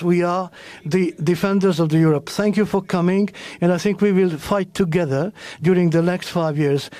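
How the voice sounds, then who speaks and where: A man addresses a rally crowd, his voice sitting high for a man. He speaks haltingly and keeps restarting and correcting his phrasing as he builds his political points. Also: mellow. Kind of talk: formal speech to an audience.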